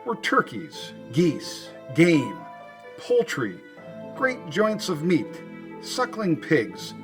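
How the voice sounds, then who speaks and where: Lively, swooping male storyteller. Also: a little high. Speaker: narrator. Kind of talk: dramatic storybook narration for old-time radio.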